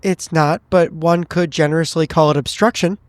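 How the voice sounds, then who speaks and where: A man playing a courteous, measured FBI special agent in a tabletop horror game, formal and gently probing. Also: on the high side, steady tone, dry recording, lightly acted. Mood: quietly pressuring.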